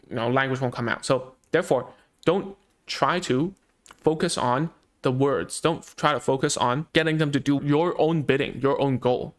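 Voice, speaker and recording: A man's voice: mid-range voice, a bit bright. A man whose first language is Cantonese, a speech therapist talking casually to parents about child language, with many fillers and restarts. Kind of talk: casual explanatory talk to parents.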